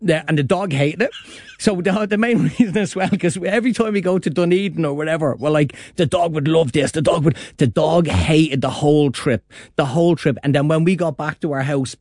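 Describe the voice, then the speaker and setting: A man's voice: fairly high pitch, brisk, darkish tone, no room sound, studio quality. A man with an Irish accent, chatting on a radio panel and telling a rambling, jokey story with laughs. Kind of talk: casual radio banter.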